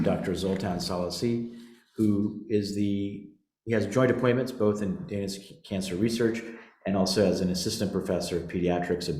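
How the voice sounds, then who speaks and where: A man's voice: low voice, darkish tone. A man speaking as a company executive, giving a semi-scripted corporate presentation on a conference call. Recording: roomy, echoing conference call audio.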